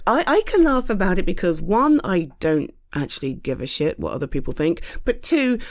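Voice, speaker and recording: A British woman in a roleplay, talking frankly and reassuringly to a friend, with halting restarts and a close studio mic. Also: medium pitch, a little mellow. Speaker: audio roleplay performer.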